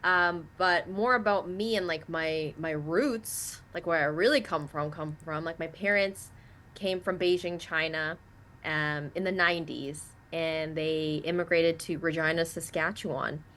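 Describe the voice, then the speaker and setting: A young woman from Canada, a native Mandarin speaker, chatting as an interview guest in an animated way with many fillers. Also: low-pitched, unhurried. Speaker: guest, actress and comedian. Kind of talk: casual interview chat.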